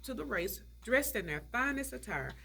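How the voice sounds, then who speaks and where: A woman plays a prim older society lady in a stage play. Her voice is forward and sharp with a husky rasp, swinging widely as she speaks. She comes across tart and nostalgic. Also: on the high side, big pitch swings, moderate pace, exaggerated voice. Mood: storytelling. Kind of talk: stage play table read.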